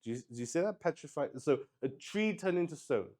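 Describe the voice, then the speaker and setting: A man's voice: on the high side, expressive, brisk, darkish tone. A man improvising narration for a solo tabletop roleplaying game, thinking aloud hesitantly and often repeating his words. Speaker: solo roleplay host and player.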